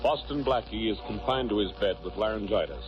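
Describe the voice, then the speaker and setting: A man's voice: lowish voice, expressive, brisk, music in the background. A man with an American accent giving scripted narration as the announcer of a 1940s radio drama. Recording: muffled old radio recording.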